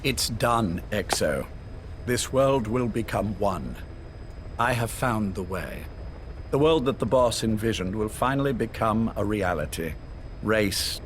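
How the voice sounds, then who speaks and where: An aging, embittered spymaster who talks gravely and quietly. His voice is lowish and his delivery very slow. The cutscene audio carries loud background noise. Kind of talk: video game cutscene acting.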